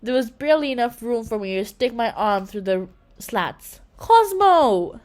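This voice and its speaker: A woman reading a children's story aloud in an expressive manner, occasionally stumbling and correcting herself. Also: a little high, moderate pace. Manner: expressive, with slips and self-corrections. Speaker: children's book reader.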